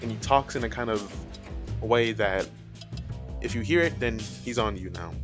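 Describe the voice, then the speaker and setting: A man with a lowish voice talks casually as the host of an explainer video. He rambles, hedges and circles back to restate his points. Faint music plays behind him. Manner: rambling, hedging, often repeating and correcting himself. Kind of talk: casual, loosely structured explainer commentary.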